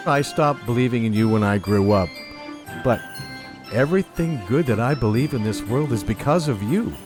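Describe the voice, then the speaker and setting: A man's voice: medium pitch, expressive, moderate pace, close to an everyday voice. A man playing an earnest, persuasive space adventurer hero in a sci-fi comedy audio drama, speaking with dramatic sincerity. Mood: earnest, stirring. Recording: music behind the voice. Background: music.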